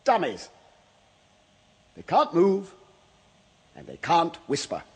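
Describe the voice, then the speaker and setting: An actor playing a frightened freelance writer in a radio horror drama, husky-voiced and agitated, swinging between muttering and shouting. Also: male voice, a little high, huge pitch swings, slow pace, exaggerated voice. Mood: mounting terror. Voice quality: husky, breathy. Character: frightened male freelance writer, jittery, unravelling overnight among waxworks. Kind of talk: old-time radio horror drama acting.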